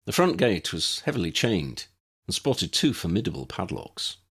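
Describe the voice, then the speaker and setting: A man's voice: sits low, wildly swooping pitch, normal speed, fairly bright tone, husky. A man reading a story aloud as a scripted audiobook narrator. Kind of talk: scripted fiction narration with character voices.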